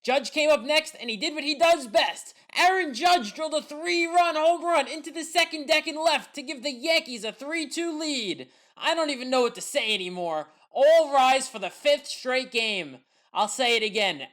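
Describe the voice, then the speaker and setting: A woman with an American accent delivering scripted play-by-play recap commentary as a sports highlights narrator. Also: normal speed, few pauses, a bit bright.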